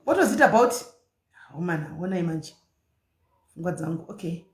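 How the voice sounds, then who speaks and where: A woman host on a live advice stream, calm and affirming, mixing English with Shona. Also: on the low side, normal speed. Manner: calm, affirming, frequent murmured agreement. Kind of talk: casual live-stream chat. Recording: echoey room.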